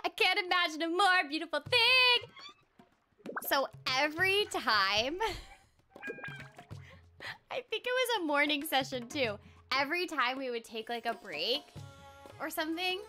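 High, bright, animated young woman streamer. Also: a little slow, pauses often, faint music behind. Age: young adult. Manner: playful, exuberant, theatrical. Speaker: streamer and voice actor. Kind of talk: casual livestream chat with acted-out quotes. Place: small indoor room, streaming over a game.

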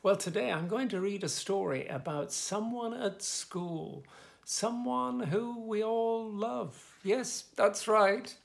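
A man in his fifties reading a picture book aloud to young children, with expressive, sing-song delivery and soft asides. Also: high-pitched, slow pace, gravelly. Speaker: children's story reader, host. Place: small furnished room indoors, bookshelves.

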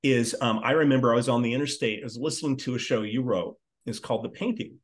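A man in his fifties hosts a casual chat. His voice is of average pitch. He speaks earnestly and effusively, often saying a word several times over. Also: fast talker. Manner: effusive, earnest, repeats words. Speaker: podcast host, interviewer. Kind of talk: casual, conversational hosting. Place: living room at home, on a video call.

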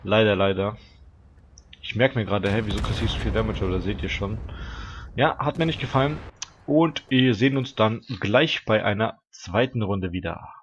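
Teen gamer with a stuffy nose. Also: male voice, on the low side, normal speed, slight background noise. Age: teens. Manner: chatty, relaxed, talks to viewers. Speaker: gaming channel host. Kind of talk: casual gameplay commentary.

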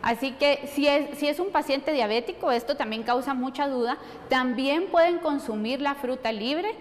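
A woman's voice: sits high, moderate pace, slight background noise. A middle-aged woman explaining things as a nutrition expert answering questions. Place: studio set. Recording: long hall reverb.

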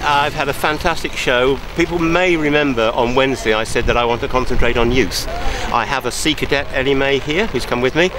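A man's voice: medium pitch, a little fast, hardly any pauses, background noise. An older man chatting in a rambling, jokey way, interviewed outdoors at a rainy show ground close to the camera. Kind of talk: casual interview. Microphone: camera held close.

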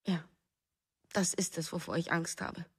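An actress in a radio drama playing a bitter, fearful, demanding wife with an accusing, emphatic manner. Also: female voice, fairly low pitch, level tone, unhurried, gravelly, fairly bright tone, slightly rough, exaggerated voice. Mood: quiet, fearful. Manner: emphatic, accusing, short clipped phrases. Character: embittered, anxious wife, jealous, demanding and fearful.

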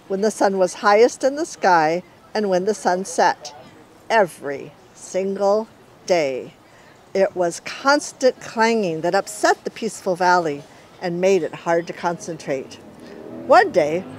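An American woman in her sixties reads a children's storybook aloud. She speaks as the author presenting her own book, at a middle pitch, outdoors in a courtyard. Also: slight background noise, low-quality recording. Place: outdoor walled courtyard in daylight.

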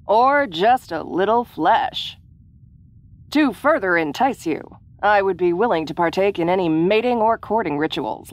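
A woman playing a predatory alien seeking a mate, businesslike, deliberate and deadpan, in an audio roleplay. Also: lowish voice.

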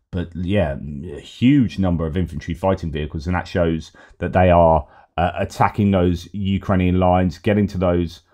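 A middle-aged man talks through the news in a loose, rambling way, full of fillers and restarts. His voice sits low and mellow, slightly worn as if he's losing it. He is recorded at home on a headset mic. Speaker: host. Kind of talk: casual commentary on news posts.

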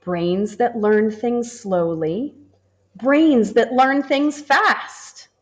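A woman, a teacher, reading a children's picture book aloud in a gentle, expressive manner. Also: on the high side, a little hiss or hum. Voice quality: expressive and animated, slow and gentle. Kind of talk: children's storybook read-aloud. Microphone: camera over the book, hands turning pages.

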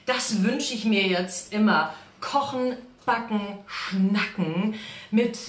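A woman's voice: medium pitch, takes it slowly. A middle-aged woman hosting a cooking show, chatting casually with a guest in an exuberant, effusive way. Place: small home kitchen, indoors. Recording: roomy kitchen echo, light background noise.

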